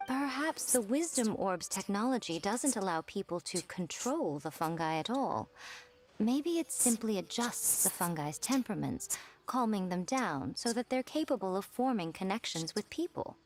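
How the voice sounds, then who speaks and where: A woman's voice: medium pitch, animated, slight background noise, close to an everyday voice. Actress doing an elegant worldly game lady, genteel and teasing, very lilting delivery. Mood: reassuring, thoughtful. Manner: genteel, playful, measured formal phrasing. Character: poised, teasing, worldly elegant adult woman. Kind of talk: anime fantasy game voice acting.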